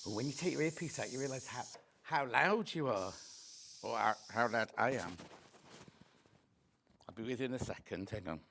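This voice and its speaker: A man hosting a live shopping show, chatty and friendly, reading out viewer messages with a slightly croaky voice. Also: mid-range voice, moderate pace, long pauses, slight background noise. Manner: chatty, reads viewer messages aloud warmly. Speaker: shopping channel host.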